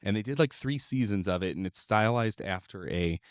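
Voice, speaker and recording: A man's voice: on the low side, lively intonation, brisk, no room sound, studio quality. A man co-hosting a podcast in casual chat, rambling loosely from thought to thought. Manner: rambling, peppers talk with "like". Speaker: podcast co-host.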